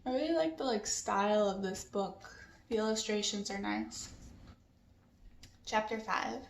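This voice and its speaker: A woman hosting a read-along, chatting casually and reading a children's novel aloud expressively. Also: medium pitch. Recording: roomy echo with background noise.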